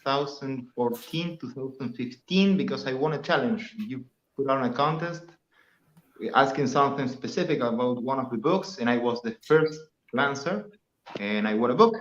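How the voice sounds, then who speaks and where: A man's voice: medium pitch, normal speed, reverberant, dull audio. A man with an Argentine Spanish accent, a native Spanish speaker, chatting casually as a guest on a livestream and telling stories loosely with some swearing.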